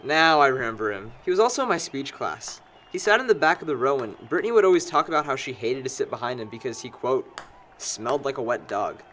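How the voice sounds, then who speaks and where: A man's voice: mid-range voice, sing-song, fast talker, fairly bright tone, a little hiss or hum. A man playing a sarcastic, needling young man among longtime friends in an amateur audio drama. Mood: reminiscing.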